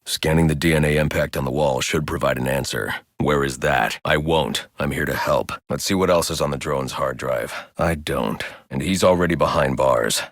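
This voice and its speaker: An actor playing a grim, brooding, menacing masked vigilante detective in a video game, with a gravelly voice and a stern, terse, intimidating delivery. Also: male voice, rumbling low, moderate pace, hardly any pauses, dry recording, studio quality. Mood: focused, brooding. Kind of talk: dramatic video game voice lines.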